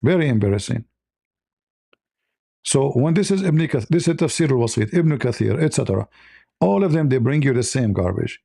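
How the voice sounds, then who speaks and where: Gravelly, dark-voiced combative debate host. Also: male voice, mid-range voice, expressive, normal speed, long pauses, dry recording, clean studio sound. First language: Arabic. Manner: combative and mocking, repeats himself insistently. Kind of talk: heated live debate.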